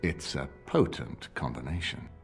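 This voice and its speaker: A man playing a shrewd middle-aged nobleman in a video game, speaking in a formal, deliberate and eloquent way. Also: a bass voice, vocal fry, soft background music, exaggerated voice. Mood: wry.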